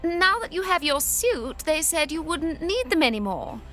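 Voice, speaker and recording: A woman acts a fawning royal attendant in a comic audio satire. The voice is extremely high and swoops about, deferential yet slyly insinuating. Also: big pitch swings, moderate pace, pushed and bright, full character voice. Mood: politely insinuating. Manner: deferential, pointedly polite. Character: obsequious courtier, politely smooth, insinuating attendant.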